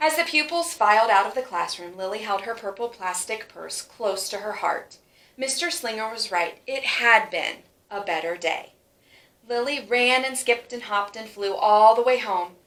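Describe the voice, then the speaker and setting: A woman reading a children's story aloud in an animated way, stretching words for emphasis. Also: on the high side, moderate pace. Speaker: storybook reader. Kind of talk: expressive children's read-aloud. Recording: roomy, echoing sound.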